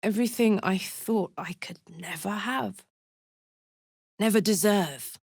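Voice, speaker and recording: A woman's voice: mid-range voice, slow pace, vocal fry, a little mellow, slightly rough, dry recording, clean studio sound, heavily acted. An actress voicing a brash, big-hearted tiefling warrior woman in a video game, talking bluntly like a battle-hardened soldier with her feelings in the open. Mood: tender. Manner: blunt, informal, emotionally open soldier's talk. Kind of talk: video game character voice acting.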